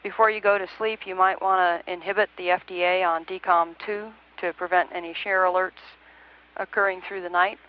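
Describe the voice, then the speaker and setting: A woman's voice: fairly low pitch, fairly even intonation, brisk. A woman working as a mission control communicator, talking procedurally but conversationally to a crew over the radio. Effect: radio transmission. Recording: dull band-limited space-to-ground radio link.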